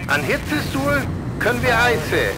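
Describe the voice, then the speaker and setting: Actor as high-voiced bomber pilot in loud noise. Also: male voice, big pitch swings, a little slow, exaggerated voice. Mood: tense, official. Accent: North Frisian. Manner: clipped military radio reporting. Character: male military bomber pilot reporting tensely to command. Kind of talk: radio play acting. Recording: noisy aircraft cockpit, loud engine drone.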